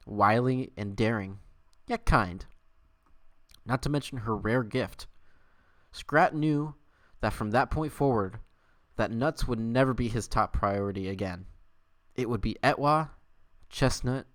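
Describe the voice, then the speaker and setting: A man's voice: mid-range voice, fairly bright tone, no echo. Theatrical man with a close, dry sound. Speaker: livestream host. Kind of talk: dramatic fan fiction reading and casual stream chat.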